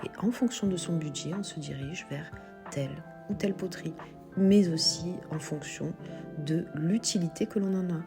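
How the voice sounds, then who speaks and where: A woman's voice: fairly low pitch, moderate pace, vocal fry, over music. A woman with a cold walking viewers through her shop's pottery stock, chatting as she explains prices and sizes. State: has a cold. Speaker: shop owner presenting stock. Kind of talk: product walkthrough video.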